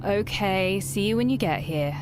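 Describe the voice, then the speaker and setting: An actress playing a composed, unbending boss in an audio drama, firm and matter-of-fact. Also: female voice, medium pitch, lively intonation, normal speed, background noise, close to an everyday voice. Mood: resigned.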